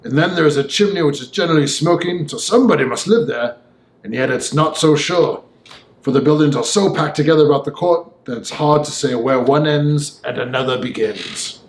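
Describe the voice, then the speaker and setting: A man voicing an opinionated young gentleman in an audiobook, talking in a formal, emphatic, discursive way. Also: fairly high pitch, fairly quick, roomy sound, natural voice. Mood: matter-of-fact. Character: opinionated, talkative young Victorian gentleman, Mister Enfield. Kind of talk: audiobook character voice.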